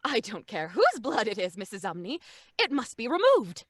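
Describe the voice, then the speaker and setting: A woman's voice: fairly high pitch, wildly swooping pitch, moderate pace, slightly rough, no echo, studio quality, heavily acted. A woman playing a refined, easily flustered mother in an old-time radio drama, with a fluttery manner. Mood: appalled, firm. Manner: genteel, fluttery. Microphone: studio mic at home, video call.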